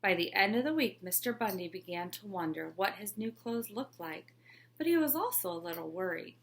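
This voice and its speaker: A woman's voice: medium pitch, moderate pace, slight background noise. Woman reading a children's story aloud. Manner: clear, expressive, storybook delivery.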